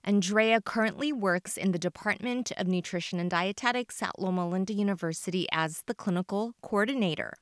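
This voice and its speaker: A woman's voice: mid-range voice, brisk, hardly any pauses, clear, resonant tone, no room sound, clean studio sound. A woman hosting a podcast, reading an upbeat scripted introduction to her guest. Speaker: podcast host.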